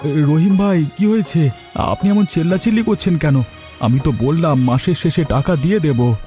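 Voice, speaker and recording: A man voicing a gentle, humble, doting boatman father with a reassuring manner in a Bengali cartoon. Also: a little high, moderate pace, faint music behind, natural voice. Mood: startled, protesting. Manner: affectionate, reassuring.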